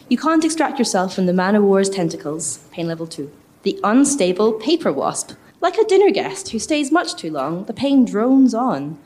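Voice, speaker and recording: A woman's voice: mid-range voice, darkish tone. A woman reading short poetic descriptions dramatically at a live event.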